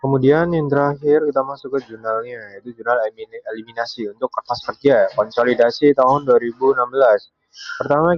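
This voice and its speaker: A man's voice: mid-range voice, no echo. Dry, dull-sounding young man presenting. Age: young adult. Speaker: university student presenter. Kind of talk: step-by-step explanatory presentation.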